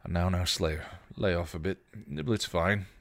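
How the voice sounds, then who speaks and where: A man reads a male vampire in a storybook drama. He is a casual, soothing rogue with British slang and a lowish pitch. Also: steady tone, unhurried, fairly bright tone, no echo, clean studio sound. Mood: placating.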